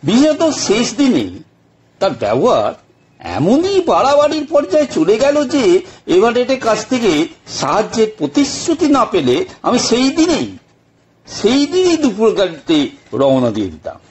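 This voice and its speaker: A man narrating an audio story in the first person, with theatrical, deliberate phrasing and frequent pauses. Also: high-pitched, wildly swooping pitch, darkish tone. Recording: music bed behind narration.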